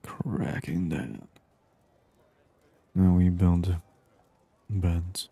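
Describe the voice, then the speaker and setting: A man gives hushed ASMR game commentary in short, murmured phrases, thinking aloud at a slow pace. His voice is very dark and breathy, captured close up and dry. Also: sits low, fairly even intonation, dry recording. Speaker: gaming streamer. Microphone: close binaural microphone.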